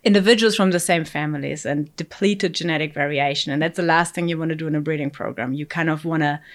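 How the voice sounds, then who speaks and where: A woman's voice: low voice, quick pace. A middle-aged woman from Germany, a fish breeding scientist answering interview questions with many fillers and asides. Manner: thoughtful, explains with many fillers and "you know".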